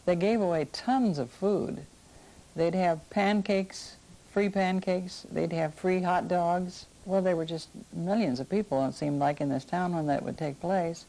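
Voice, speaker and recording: An older woman reminisces casually in an interview. Her voice is rather low and mellow, with a clear creak in it. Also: measured pace, low-quality recording. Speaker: interviewee recalling the past. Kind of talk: casual oral-history reminiscence.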